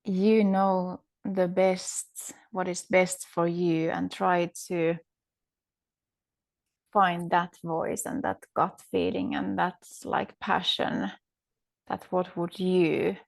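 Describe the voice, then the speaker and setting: Finnish-accented woman guest, lower-pitched, unhurried, searching for words. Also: vocal fry, a little mellow. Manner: searching for words, many fillers and restarts. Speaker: podcast guest, astrologer. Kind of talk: reflective interview conversation.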